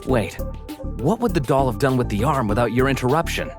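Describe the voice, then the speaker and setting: A man's voice: mid-range voice, expressive, a little fast, lightly acted. A man voicing a blunt, skeptical investigator in a visual novel dub, questioning in a pointed, sardonic way. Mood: pressing, incredulous. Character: skeptical, blunt male investigator interrogating a witness. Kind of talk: visual novel English fan dub, dramatic dialogue. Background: music.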